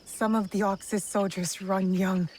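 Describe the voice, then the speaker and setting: A woman's voice: mid-range voice, level tone, unhurried, a little mellow, a little hiss or hum, natural voice. An actress playing a determined young female warrior in a video game, blunt but compassionate, with a direct, probing way of speaking. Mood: businesslike. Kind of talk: dramatic video game cutscene acting.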